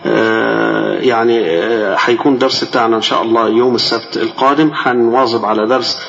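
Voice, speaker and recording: A man's voice: mid-range voice, steady tone, fast, vocal fry, fairly bright tone, dull audio. A man with an Egyptian accent teaching Islamic jurisprudence; his voice is tired and strained, and he reads classical text and then explains it in colloquial dialect. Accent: Egyptian Arabic. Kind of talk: religious lesson, reading a text then explaining.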